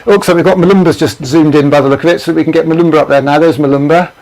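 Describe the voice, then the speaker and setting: A male presenter with a high voice runs a live studio show, chatty and always moving things along. He talks fast and keeps every exchange going. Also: steady tone, darkish tone, poor audio. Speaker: host.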